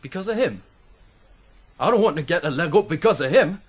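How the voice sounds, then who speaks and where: A man acting a proud farm wagoner in a radio play, with a fairly high male voice. He is blunt and grumbling, always ready with a taunt, and speaks gruffly to those around him. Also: expressive, brisk, close to an everyday voice. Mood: indignant, grumbling. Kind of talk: 1980s radio drama acting.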